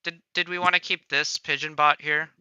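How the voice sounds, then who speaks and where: A man's voice: a little high, level tone, moderate pace, sharp tone, smooth, dry recording, lo-fi sound. A man chatting casually as he works on a livestream demo, laid-back and half-muttering to himself. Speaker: game creator demonstrating on a livestream. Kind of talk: casual chat while working.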